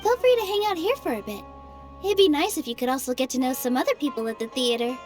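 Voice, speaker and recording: A kind, cheerful young female dancer in a video game. Her voice sits high and she sounds warm and reassuring. Faint game music plays underneath. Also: expressive, fast talker. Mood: gentle.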